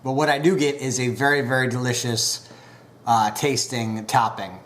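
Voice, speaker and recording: A man casually reviewing pipe tobacco, unhurried and full of filler pauses, a bit stuffed up from a recent cold. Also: medium pitch, fairly even intonation, fairly bright tone.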